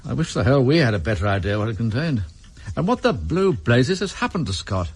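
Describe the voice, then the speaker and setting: An actor playing an authoritative, exasperated British intelligence chief, clipped and formal in a radio drama. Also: male voice, mid-range voice, sing-song, brisk, background noise. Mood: impatient.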